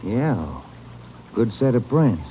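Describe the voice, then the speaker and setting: A man's voice: medium pitch, very animated, takes it slowly. An American man playing a grumbling, wry police detective partner in an old radio crime drama, talking casually and dryly. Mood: businesslike. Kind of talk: 1940s-50s radio crime drama acting. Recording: muffled, noisy old radio recording.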